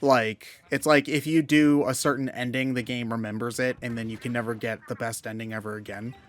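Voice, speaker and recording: A man's voice: medium pitch, flat delivery, fairly quick, soft background music. A man commentating on games in a casual, conversational style. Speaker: game commentator. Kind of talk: casual game commentary chat.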